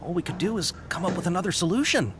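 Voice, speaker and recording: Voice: medium pitch, rapid-fire, background noise, natural voice. An actor playing a nervous, fawning caravan merchant in a video game, who hesitates a lot. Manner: hesitant, full of "uh"s, flattering. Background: game music.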